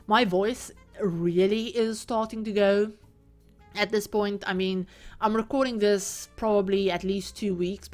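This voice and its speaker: A woman hosting her own channel, narrating a casual voiceover over gameplay from a room at home with the door open. She talks in a chatty, rambling way, full of "like" and little laughs. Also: medium pitch. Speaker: video creator and host.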